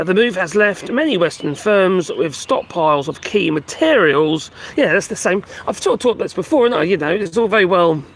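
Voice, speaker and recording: A man hosting a casual live stream, reading news aloud and commenting in a rambling, halting way, his voice going hoarse. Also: sits high, fairly bright tone. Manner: rambling, halting, many fillers and restarts; talks to his pets between remarks. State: losing his voice. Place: at home with animals nearby.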